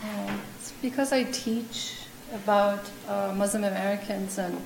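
A woman's voice: mid-range voice, fairly even intonation, a little slow, creaky, a crowd in the background. A woman professor on a discussion panel, telling chatty anecdotes with many fillers. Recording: echoey room with faint noise.

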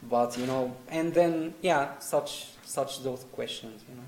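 A man's voice: mid-range voice, measured pace, a little mellow. Hesitant man from Egypt. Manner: hesitant, repeats words, acts out quoted exchanges. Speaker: panel guest. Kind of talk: panel discussion answers. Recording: echoey room with faint noise.

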